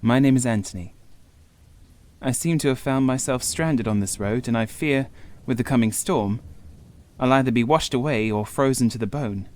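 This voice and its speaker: A man's voice: medium pitch, moderate pace, darkish tone, natural voice. Man playing polite, pleading young stranded traveller. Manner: courteous, formal old-fashioned phrasing. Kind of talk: dramatised horror audiobook.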